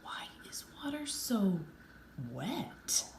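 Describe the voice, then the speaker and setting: Curious storybook fox, male, earnest and lively in pitch played by a woman, roomy sound. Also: extremely slow, gravelly, in a whisper, exaggerated voice. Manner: wondering, earnest. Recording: roomy echo, faint background noise.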